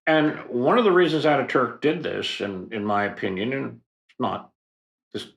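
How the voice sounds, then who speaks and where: An older man explaining history as a podcast guest, in a professorial, unhurried way with fillers and asides. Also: medium pitch, vocal fry, echoey room. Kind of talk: expert explanation in a podcast interview.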